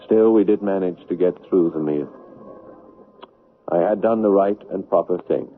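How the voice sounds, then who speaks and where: A man playing an earnest, self-righteous fiancé and son in a 1970s radio drama, measured, insistent and solemn. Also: low voice, slight background noise, close to an everyday voice. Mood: solemn, confessional. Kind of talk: 1970s radio drama acting and confessional narration. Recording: muffled, phone-like old radio broadcast.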